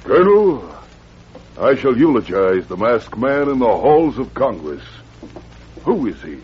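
An animated, oratorical Old West congressman. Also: mid-range voice, measured pace. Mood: grateful, stirred. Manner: formal, oratorical. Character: pompous but grateful male congressman, earnest and oratorical. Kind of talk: old-time radio western acting. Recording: muffled, noisy vintage radio recording.

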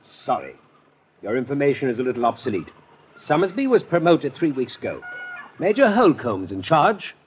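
A man playing a sharp, sardonic agent in an old radio adventure, speaking like an interrogator. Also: mid-range voice, sing-song, normal speed, a little hiss or hum, close to an everyday voice. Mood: challenging. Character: sharp, sardonic male agent challenging his rival. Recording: muffled vintage radio recording.

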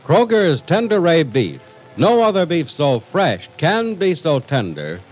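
A man's voice: a little high, wildly swooping pitch, measured pace, soft background music. A man with an American accent, announcing in a polished, emphatic, scripted 1940s radio style. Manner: polished, emphatic sales delivery.

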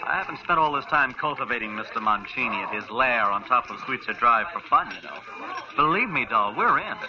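An actor playing a slick, flattering male schemer in a 1940s radio drama, confident and smooth. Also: medium pitch, a little fast, noisy background, natural voice. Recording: dull old radio recording with music.

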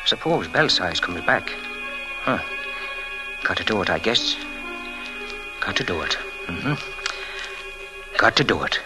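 An actor playing an unhinged, cunning young murderer in an old-time radio thriller, muttering and repeating himself, then swinging into frenzy. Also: male voice, low voice, lively intonation, slow pace, pauses often, rough recording. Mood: brooding, muttering. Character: unhinged young male murderer, cunning, paranoid, childishly boastful. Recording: vintage radio drama with dramatic music.

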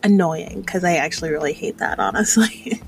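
A woman's voice: low-pitched, expressive, normal speed, creaky. A woman in her thirties chatting casually in a personal vlog, rambling and hesitant as she reflects on herself. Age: 30s. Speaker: vlogger.

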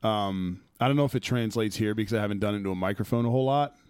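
A man hosting a sports podcast in casual chat, loud and profane, laughing often, with a phlegmy throat. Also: fairly low pitch, rapid-fire, clean tone, no room sound, studio quality. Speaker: podcast host.

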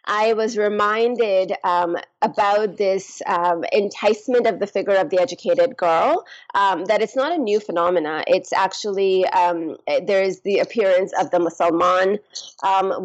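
Slightly muffled, lo-fi audio carries a woman from Pakistan with a mid-range voice. She is a scholar giving academic explanations, setting out each idea in careful, hesitant segments. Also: mid-range voice. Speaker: guest scholar and author.

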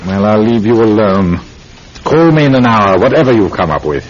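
An actor playing a harried, brusque senior police inspector in an old-time radio drama. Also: male voice, on the low side, lively intonation, normal speed. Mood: harried, impatient. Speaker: police superior. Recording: dull, noisy vintage radio recording.

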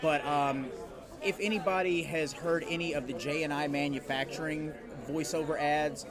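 A man's voice: a little high, fairly even intonation, moderate pace. A man hosting casual on-location interviews at a convention. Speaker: podcast host interviewing guests, also a voice actor. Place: convention booth in a hotel media room. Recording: busy convention crowd around him.

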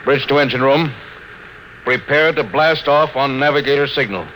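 Male actor as gruff space captain, short-tempered, loyal underneath, old radio drama, noisy recording. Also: mid-range voice, normal speed, lightly acted. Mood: businesslike command. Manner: brusque, commanding. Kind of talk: classic radio drama acting.

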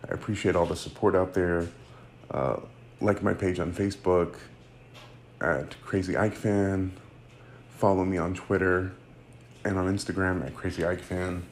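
A man's voice: sits low, steady tone, measured pace, long pauses, slight background noise. A man, hosting a casual solo sports podcast and rambling with fillers and self-corrections. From United States. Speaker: podcast host.

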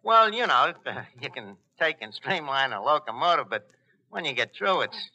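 An actor playing a brash, chummy, uneducated New York tavern manager in a 1940s radio comedy, with slangy, hesitant bluster and mangled words. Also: male voice, a little high, very animated, normal speed, sharp tone, lo-fi sound, heavily acted. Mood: breezy, wisecracking. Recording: noisy old 1940s radio broadcast recording.

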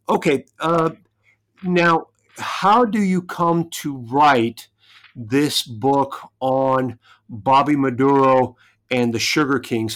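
A man hosting a podcast and interviewing an author. He talks in a relaxed, conversational way and strings his questions together into long, multi-part asks. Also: a little high. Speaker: podcast host.

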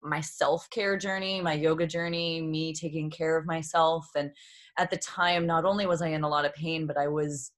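A woman's voice: deep voice, steady tone, normal speed. A woman in her thirties from Boston, a yoga therapist telling her story as a podcast guest in a reflective, open way, laughing often. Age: 30s. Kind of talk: casual interview talk.

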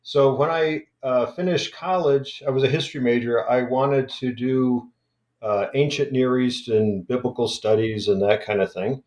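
Male academic guest, halting delivery, reverberant room. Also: mid-range voice, fairly even intonation, darkish tone. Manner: halting, many restarts and fillers. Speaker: guest scholar. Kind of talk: hesitant conversational interview.

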